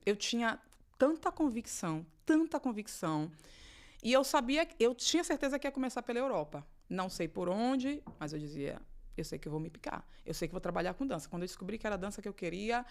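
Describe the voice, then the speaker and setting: A middle-aged Brazilian woman from Salvador with a Bahian accent, a professional dancer chatting as a podcast guest, lively and quick to laugh. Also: medium pitch. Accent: Bahian Brazilian Portuguese. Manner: lively, laughs often, repeats herself. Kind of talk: casual podcast chat.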